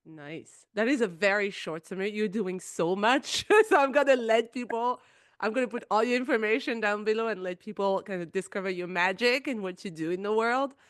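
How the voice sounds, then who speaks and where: A woman co-hosting a podcast chats in a gushing, effusive way and restarts her sentences often. Her voice is smooth and clear, at a medium pitch. The sound is dry and close to the mic. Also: fairly quick, dry recording. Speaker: podcast co-host. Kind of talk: casual chat.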